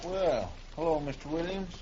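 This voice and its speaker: An American actor playing a loyal, simple frontier deputy in an old-time radio western, folksy, deferential and earnest. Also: male voice, fairly high pitch, lively intonation, normal speed, natural voice. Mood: deferential, curious. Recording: muffled old radio recording with faint noise.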